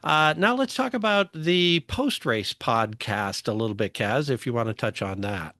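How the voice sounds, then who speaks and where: A man's voice: mid-range voice, expressive, moderate pace, sharp tone, dry recording. Casual male podcast host, dry close sound.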